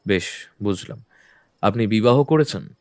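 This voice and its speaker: A man playing a calm, probing detective who questions people in a measured, firm way. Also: on the low side, no room sound. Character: calm probing male detective, middle-aged. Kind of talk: audio drama acting. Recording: faint background music.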